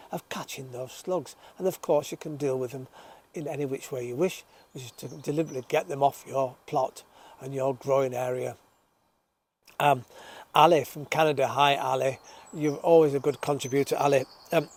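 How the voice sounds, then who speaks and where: A chatty man whose voice sits a touch high and is still croaky from a recent illness. He talks casually to his viewers about his allotment, reading out their comments in a friendly way. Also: a little mellow. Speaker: host of an allotment gardening show. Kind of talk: casual chat to camera. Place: outdoors on an allotment, birdsong around.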